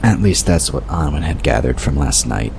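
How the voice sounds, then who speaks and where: A man's voice: rumbling low, expressive, moderate pace. A man reading scripted audiobook narration of a story. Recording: clear noise behind the voice. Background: ambient sound effects.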